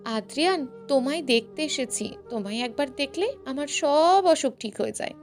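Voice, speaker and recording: Woman acting a high, simpering lovestruck young woman. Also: expressive, normal speed, soft background music. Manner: simpering, coy. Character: clingy, coquettish young college woman in love.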